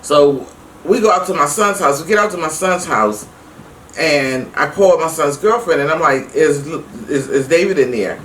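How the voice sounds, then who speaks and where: A middle-aged woman telling a personal story casually to her viewers, emphatic and animated, acting out the dialogue as she goes. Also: deep voice, normal speed. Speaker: vlog host. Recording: roomy, distant mic with faint noise.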